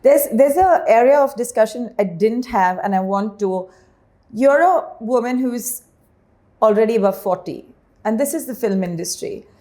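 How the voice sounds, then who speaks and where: A woman's voice: fairly high pitch, lively intonation, moderate pace, warm tone. A woman in her forties hosting an interview, asking curious questions and giving brief acknowledgements. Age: 40s. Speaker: talk show host.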